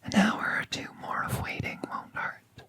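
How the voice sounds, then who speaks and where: Casting: a woman as a gentle magical veterinarian for ASMR roleplay; soft breathy whisper, very slow, reassuring, slightly formal. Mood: soothing. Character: kind, soothing magical veterinarian woman tending an injured patient.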